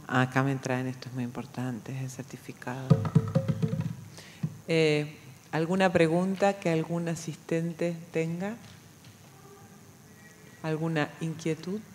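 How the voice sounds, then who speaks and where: A woman's voice: deep voice, fairly even intonation, slow pace, pauses often, music in the background. A woman informally moderating an academic session. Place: large brick-walled university auditorium. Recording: distant, noisy, reverberant auditorium sound.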